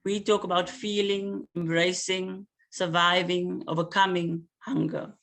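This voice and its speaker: Man reading poetry slowly. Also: sits high, little pitch movement, a bit bright. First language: Afrikaans. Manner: slow, measured recitation with hesitant asides between pieces. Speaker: poet.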